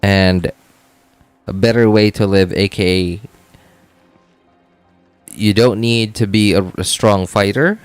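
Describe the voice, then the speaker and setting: A man's voice: fairly low pitch, fairly even intonation, slow pace, long pauses, clear, resonant tone, dry recording, faint music behind. A man chatting casually on a game livestream, thinking aloud in a rambling way and often repeating himself. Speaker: game streamer. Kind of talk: casual livestream chat while playing.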